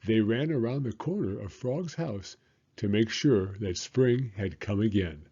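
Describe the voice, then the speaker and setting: A man's voice: on the low side, animated, measured pace, mellow, breathy edge, lo-fi sound. A man reading a children's storybook aloud as its narrator.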